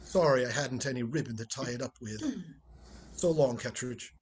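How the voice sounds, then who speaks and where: A man acting a breezy, teasing, urbane ex-husband in a 1940s radio play, with a husky voice and wry nonchalance. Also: medium pitch, normal speed, a little hiss or hum, natural voice.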